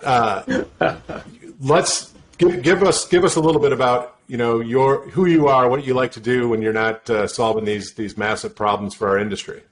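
A man's voice: medium pitch, normal speed, slight background noise. A man hosting a podcast, with a casual, agreeable manner and short affirmations. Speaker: podcast host. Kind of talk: podcast interview.

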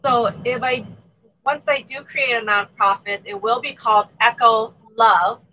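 A woman with an American accent, from Thailand, answers interview questions in a bright, fairly high voice. She is soft-spoken and lets phrases fade at the end. Her remote mic is set low and sounds dull. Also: fairly even intonation, unhurried, slight background noise. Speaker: guest, community organizer. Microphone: low-level remote mic.

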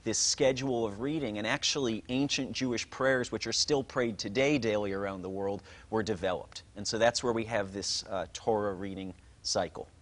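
A man's voice: lowish voice, moderate pace, hardly any pauses, fairly bright tone. Man explaining Hebrew terms patiently. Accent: Israeli. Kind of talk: explanatory conversation.

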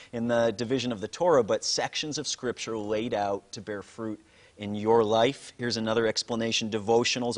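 A man co-hosting a religious show, teaching and presenting in an articulate, conversational way and often affirming his partner. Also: medium pitch.

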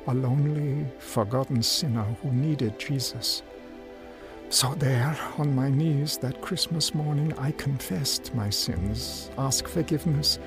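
An actor playing a sincere, humble homeless man with a creaky voice, telling his story in a radio drama. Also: medium pitch. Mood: earnest, moved. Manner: sincere, reflective. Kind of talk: radio drama first-person narration and dialogue. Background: music.

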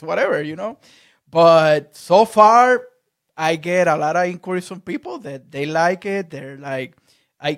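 Halting man, higher voice. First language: Spanish. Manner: halting, repeats words. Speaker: podcast host. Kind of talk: casual interview chat.

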